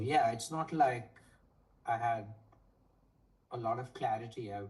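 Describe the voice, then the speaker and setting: A man's voice: lowish voice, darkish tone. Man in his forties, thoughtful and hesitant. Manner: thoughtful, hesitant with many fillers. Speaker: economics professor, guest. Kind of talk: casual interview answers. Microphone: video call. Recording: distant from the mic, faint noise.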